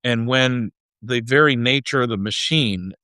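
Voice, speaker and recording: A man with a mid-range voice talks like a podcast host working through an idea out loud. His phrasing rambles a little and lands emphatically on key words, recorded dry and close. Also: medium pitch, a little slow, clean tone, no echo. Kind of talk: conversational explaining.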